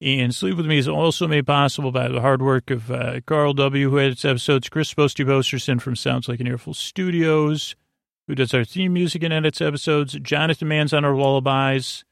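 Creaky-voiced man, lulling and meandering. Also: mid-range voice, fairly even intonation, no echo, studio quality. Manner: lulling, meandering, halting with tangents and self-corrections. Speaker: host of a bedtime sleep podcast. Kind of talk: rambling, soothing bedtime monologue.

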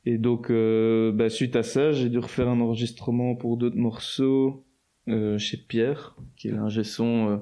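A man's voice: mid-range voice, little pitch movement, unhurried, warm tone. A man, chatting casually as an interview guest, loose and slangy with lots of fillers and restarts. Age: 20s. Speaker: guest musician.

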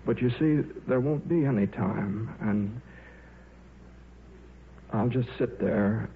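Stammering, shy scientist, old radio. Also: male voice, medium pitch. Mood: weary, resigned. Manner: hesitant, stammering, halting. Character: shy, absent-minded middle-aged male scientist, earnest and defeated. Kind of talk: 1950s radio drama acting. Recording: muffled, noisy old radio broadcast.

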